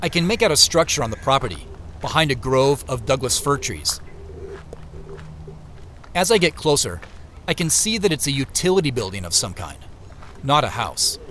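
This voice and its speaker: A man with an American accent playing a reflective, wry solitary traveler who narrates an audio drama in the first person, with measured storytelling and muttered casual asides. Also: medium pitch, big pitch swings, sharp tone, slight background noise. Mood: reflective, observant. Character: male solitary traveler, reflective, wryly talking to himself. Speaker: narrator and lead character. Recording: music behind the voice.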